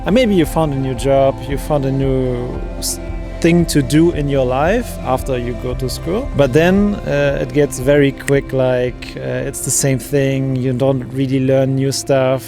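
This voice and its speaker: A man's voice: mid-range voice, fairly even intonation, a little slow, creaky, music in the background. Earnest man in his thirties. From Germany. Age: 30s. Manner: earnest, searching for words. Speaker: interviewee, traveler. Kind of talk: casual street interview.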